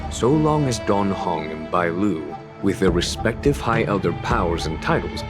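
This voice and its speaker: An actor playing a calm, shrewd general in a video game, courteous, eloquent and diplomatic. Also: male voice, low-pitched, normal speed, over music. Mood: calm, knowing. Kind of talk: video game dialogue acting.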